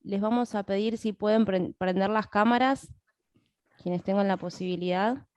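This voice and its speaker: A woman's voice: mid-range voice, fairly even intonation, moderate pace, darkish tone, dry recording, muffled recording. A woman moderating an online workshop, introducing it informally to the participants. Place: online video call, dry sound.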